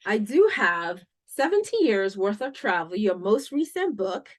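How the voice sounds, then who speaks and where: A woman from the United States hosting a podcast and interviewing a guest with enthusiasm. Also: medium pitch. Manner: enthusiastic, lively, drawn-out phrasing. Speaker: podcast host.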